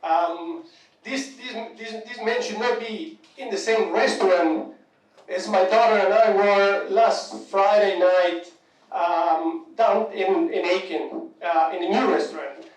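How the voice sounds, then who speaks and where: A man from Argentina with an Argentine Spanish accent, a native Spanish speaker, addressing a court as a crime victim in a halting, upset way, often repeating words. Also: high voice. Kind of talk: impassioned victim statement. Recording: echoey courtroom audio from a distant mic.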